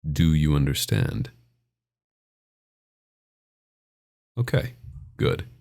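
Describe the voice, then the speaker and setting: A man's voice: big pitch swings, a little slow, dark tone, heavily acted. A man playing a possessive, domineering vampire doctor in a scripted ASMR roleplay, speaking in an intimate, condescending and menacing way. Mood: menacing, commanding. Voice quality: very deep, gravelly, often breathy.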